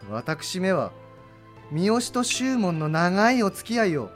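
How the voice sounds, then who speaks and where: A man playing a wily older samurai general in a Japanese period audio drama, deferential and smooth-talking in humble, theatrical archaic speech. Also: high voice, big pitch swings, slow pace, faint music behind, full character voice. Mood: deferential, sly.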